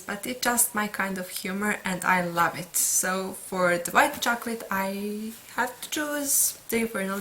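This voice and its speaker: A young woman with a Serbian accent, a book vlogger talking casually through a tag video, unhurried and pausing often with fillers. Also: medium pitch, bright, forceful tone, slight background noise, low-quality recording. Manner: unhurried, pauses with many "uh" fillers.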